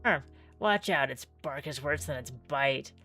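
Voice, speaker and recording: A woman's voice: extremely low pitch, animated, a little slow, pushed and bright, pitched below the performer's own voice, full character voice. A woman voicing a terse, wry male protector in a visual novel game. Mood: wry, guarded. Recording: music playing under the voice.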